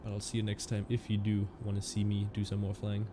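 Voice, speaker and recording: A man's voice: on the low side, completely flat delivery, fast talker, background noise. A man live-streaming a flight simulator, chatting casually with viewers and rambling through fillers and restarts.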